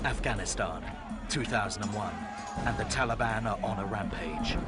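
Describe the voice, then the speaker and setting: Grave male documentary narrator over loud music. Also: on the low side, over a noisy crowd. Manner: grave, measured, suspenseful phrasing. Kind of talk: dramatic scripted documentary narration. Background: loud dramatic music, battle sounds.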